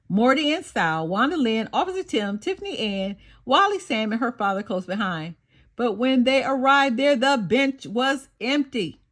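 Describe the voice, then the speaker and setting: A woman reading a children's story aloud in an animated, expressive storytelling manner. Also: fairly high pitch. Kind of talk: animated scripted read-aloud narration for children.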